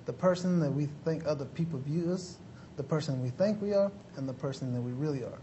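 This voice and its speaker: A man's voice: on the high side, brisk, warm tone, slight background noise, poor audio. A man in his thirties from Mexico, a motivational speaker, answers earnestly and politely with many fillers. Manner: earnest, lots of "you know" fillers, polite "yes sir". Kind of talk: interview answers.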